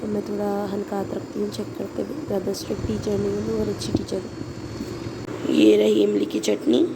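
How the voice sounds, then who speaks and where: A young woman from Karachi, Pakistan, with a hoarse, sore throat, chatting casually and rambling in a home vlog. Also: mid-range voice, flat delivery, moderate pace. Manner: rambling, chatty, mixes in English. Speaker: vlogger and schoolteacher. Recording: noisy background hum.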